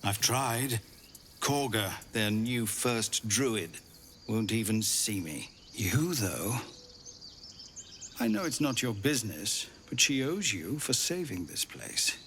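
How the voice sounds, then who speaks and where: An actor playing a weary middle-aged leader of refugees, speaking in a grave, pleading way. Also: male voice, on the low side, lively intonation, slow, a bit bright, a little hiss or hum, full character voice. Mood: worried. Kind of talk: video game dialogue. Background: game music.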